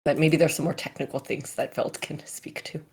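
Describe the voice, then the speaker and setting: Chatty low-voiced woman, lots of fillers. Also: level tone, brisk, a little mellow, husky. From California. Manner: chatty, many fillers and restarts. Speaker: company CEO answering questions. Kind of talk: casual Q&A.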